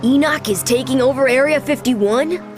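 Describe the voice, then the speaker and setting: Game boy hero, actress: young, brash wisecracker with a high voice and cocky casual delivery. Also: moderate pace, natural voice. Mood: cocky excitement. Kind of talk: video game cutscene voice acting. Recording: loud music under the voice.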